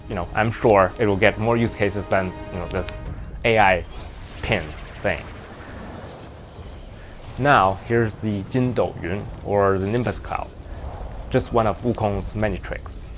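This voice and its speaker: A man who is a native Mandarin speaker with a Chinese accent, giving modest, informal explanatory commentary for a game video. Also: lowish voice. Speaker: video creator, narrator. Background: music.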